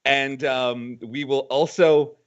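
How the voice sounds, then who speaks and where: A man's voice: medium pitch, steady tone, takes it slowly, clear, resonant tone. Man on dull lo-fi audio. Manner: plain, upbeat plugging his show. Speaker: podcast guest. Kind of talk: casual chat.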